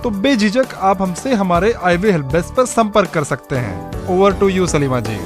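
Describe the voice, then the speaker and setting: A man's voice: sits high, lively intonation, normal speed, over music. A man with an Indian accent co-presenting a radio show in a cheerful, courteous scripted style. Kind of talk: scripted prize announcement.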